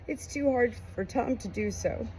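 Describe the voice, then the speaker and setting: A woman's voice: on the high side, normal speed, heavy background noise, pitched above the performer's own voice, lightly acted. A woman voicing an open, tender young mother who is dying, in a heartfelt storybook reading. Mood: sorrowful, pleading.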